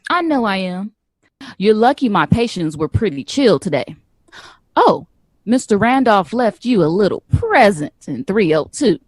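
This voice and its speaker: A woman's voice: mid-range voice, big pitch swings, unhurried, no room sound. A woman playing a sassy, teasing nurse in an audio drama, bantering in casual slang. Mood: teasing, playful. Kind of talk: naturalistic audio drama acting.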